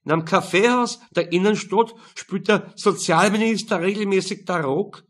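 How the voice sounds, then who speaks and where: A man's voice: high-pitched, normal speed, fairly bright tone, voice pushed up. A man voicing an opinionated tennis coach in his sixties, delivering an emphatic, conspiratorial monologue in an audiobook. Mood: indignant, insistent. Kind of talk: audiobook character dialogue.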